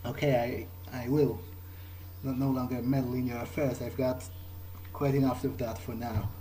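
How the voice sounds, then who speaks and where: A man voicing an uneasy, apologetic vampire in improvised video game roleplay, halting and stammering as he pleads. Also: mid-range voice, flat delivery, warm tone, a little hiss or hum, lightly acted, poor audio. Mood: pleading, wary. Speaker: player acting in character.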